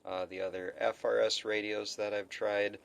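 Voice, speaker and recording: A man's voice: fairly low pitch, flat delivery, moderate pace, gravelly. A man giving a casual, unscripted gear review, hesitant and thinking aloud as he goes. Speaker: product reviewer. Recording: faint background noise.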